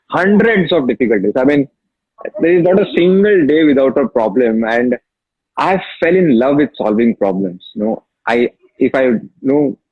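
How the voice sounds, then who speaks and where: Man, Marathi-accented Indian English, husky voice, emphatic storyteller, dry dull lo-fi sound. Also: medium pitch, no echo. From Maharashtra, India. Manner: emphatic, storytelling, repeats key phrases. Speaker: interview guest, app founder. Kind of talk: casual interview talk.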